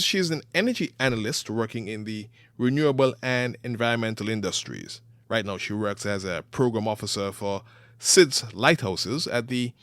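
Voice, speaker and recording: A man hosting an interview show, introducing guests and asking clear, earnest questions. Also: mid-range voice, no room sound, studio quality. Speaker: host.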